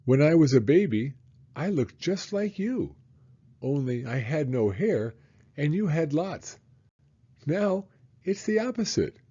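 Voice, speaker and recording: A man's voice: on the high side, sing-song, slow, long pauses, warm tone, slightly rough, lo-fi sound, full character voice. A man voicing a cheerful young boy in a storybook, talking eagerly and affectionately to his dad. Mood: cheerful, proud.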